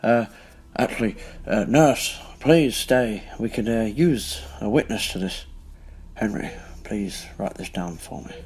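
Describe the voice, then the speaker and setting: Radio play casting: male actor as frail elderly tycoon, low-pitched, very slow and halting, stubborn and earnest. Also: a little mellow, breathy edge. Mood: formal, determined. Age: older. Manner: halting, pleading. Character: frail old businessman, earnest and stubborn, in a hospital bed.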